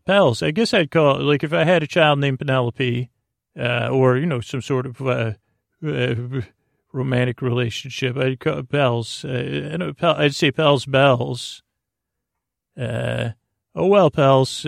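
A man hosting a sleep podcast in a meandering, halting monologue, whimsical and self-deprecating, stammering and circling back on his words. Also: mid-range voice, gravelly, no room sound, clean studio sound. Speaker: host of a bedtime story podcast.

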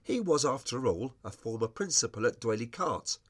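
Middle-aged British male narrator for wry personal essays; very lively intonation, slightly husky edge. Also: medium pitch, normal speed. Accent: British English. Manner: wry, expressive storytelling. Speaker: writer reading own personal essay. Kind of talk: scripted narration of humorous memoir.

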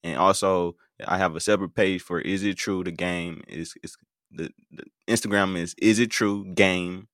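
Man, American accent, low voice, dry close sound, casual show host, halting delivery. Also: a bit bright, no echo. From United States. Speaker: podcast game show host. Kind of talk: casual live call-in chat.

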